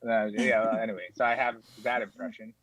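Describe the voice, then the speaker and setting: A man from the Philippines whose first language is Tagalog, speaking with an American accent as a guest in a casual interview, rambling with many fillers and sudden high jumps. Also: fairly even intonation, brisk, a crowd in the background.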